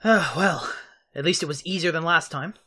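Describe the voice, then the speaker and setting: A woman's voice: sits low, moderate pace, fairly bright tone, slightly rough. A woman playing a wry young male tactician, casual and sarcastic, in a fantasy audio drama.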